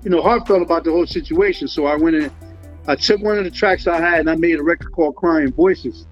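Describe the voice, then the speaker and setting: A laid-back man chats in a loose, slangy way, leaning on fillers as he talks about his music. His voice sits a bit high for a man. The recording is dull and lo-fi, with faint music behind. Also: fast talker. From Liberia. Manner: laid-back, slangy, many fillers and "you know what I'm saying". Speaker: guest musician. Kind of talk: casual interview talk.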